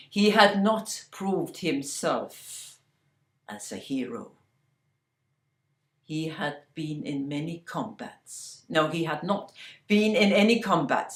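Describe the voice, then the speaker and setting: A woman telling a fairy tale slowly and deliberately, sometimes dropping to a hushed whisper. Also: on the low side, echoey room. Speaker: storyteller. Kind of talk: oral fairy-tale storytelling.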